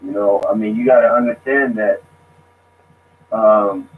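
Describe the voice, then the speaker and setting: A man speaking casually as a guest, halting and restarting his sentences. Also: lowish voice, fairly even intonation. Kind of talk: casual discussion. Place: lives abroad. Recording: tinny phone line with faint noise.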